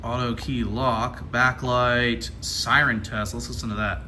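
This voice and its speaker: A man's voice: mid-range voice, flat delivery, unhurried. An American man giving casual hands-on unboxing commentary in an informal, enthusiastic way. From Ohio, United States. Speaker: vlogger. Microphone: handheld camera.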